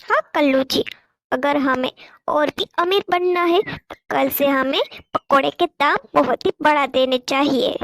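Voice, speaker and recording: A woman's voice: high-pitched, unhurried, fairly bright tone, dry recording. A woman voicing a smug, greedy female crow in a cartoon. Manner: smug, confident.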